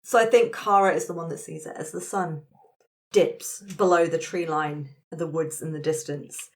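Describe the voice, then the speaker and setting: A woman's voice: deep voice, fairly even intonation, normal speed. A woman narrating and facilitating a tabletop roleplaying game among friends in a casual, chatty, encouraging way.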